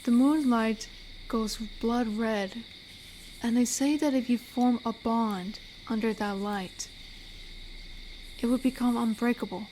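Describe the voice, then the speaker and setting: A fairly high female voice, gentle and teasing, plays a tender werewolf who protects a forest acted by a woman. She talks softly and closely to the listener in a roleplay audio drama. Faint cricket ambience sits behind her. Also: fairly even intonation, slow pace, long pauses, a little hiss or hum, rough recording. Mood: soft storytelling. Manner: gentle, teasing, soft-spoken.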